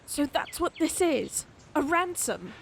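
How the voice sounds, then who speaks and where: High-voiced, proud lady knight, played by a woman. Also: very animated, slow pace, creaky, slight background noise, exaggerated voice. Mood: defensive. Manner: haughty, formal, cutting. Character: proud, indignant lady knight, quick to anger. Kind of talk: theatrical fantasy audio roleplay acting.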